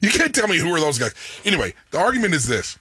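A man in his thirties co-hosting a sports radio show, talking in a booming, animated way and laughing as he argues. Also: quick pace, breathy edge, no room sound. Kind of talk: casual sports talk banter.

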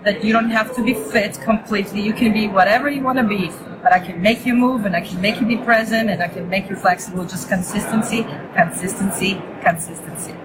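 A woman's voice: medium pitch, brisk, hardly any pauses, a loud crowd, poor audio. A woman who grew up in Israel with Hebrew as her first language, chatting as a yoga teacher guest in a loose, upbeat way, restarting often and laughing easily. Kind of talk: casual interview chat.

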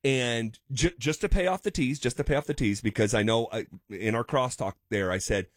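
Analytical sports radio host, dry studio. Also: male voice, medium pitch, brisk, no echo. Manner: analytical, builds points with frequent restarts. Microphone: studio microphone.

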